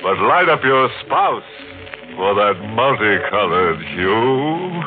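An actor playing a tongue-in-cheek, ghoulish host of a 1940s radio mystery show, sardonic and mock-sinister. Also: male voice, lowish voice, very animated, takes it slowly. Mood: gleefully macabre. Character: ghoulish, tongue-in-cheek horror host who savours grim puns. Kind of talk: 1940s radio horror hosting. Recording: dull old radio recording, music behind.

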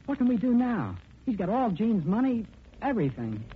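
A man's voice: high-pitched, big pitch swings, normal speed. Earnest young boatman, thin old radio. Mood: earnest, worried. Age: young adult. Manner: polite, earnest. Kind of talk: 1940s radio acting. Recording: dull, band-limited, noisy 1940s radio recording.

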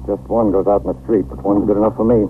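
A man acting a brisk, tough-minded New York police lieutenant in a 1950s radio crime drama, terse and commanding. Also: on the low side, steady tone, natural voice. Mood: hard-boiled, focused. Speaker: actor. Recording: tinny, faintly noisy 1950s radio broadcast.

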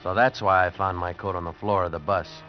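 A man playing a low-voiced, world-weary cynic. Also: fairly even intonation, brisk, slight background noise, lightly acted. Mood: weary, intrigued. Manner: wry, hard-boiled. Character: world-weary cynical broke actor narrating his story. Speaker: actor in a radio drama. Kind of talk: 1950s radio adventure drama narration. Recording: muffled vintage radio recording, music behind.

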